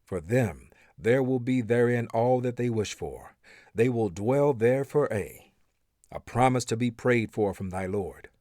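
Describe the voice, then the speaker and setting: A man reads an English translation of scripture aloud as narrator, in a solemn, measured manner with dramatic emphasis. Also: medium pitch, darkish tone, no echo, studio quality. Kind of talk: expressive scripture recitation in English.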